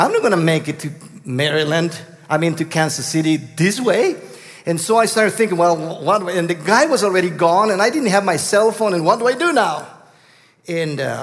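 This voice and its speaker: A middle-aged man from Guatemala with a Guatemalan Spanish accent, telling a story as a guest preacher, halting and full of restarts. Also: sits high, long reverb tail. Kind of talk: storytelling sermon.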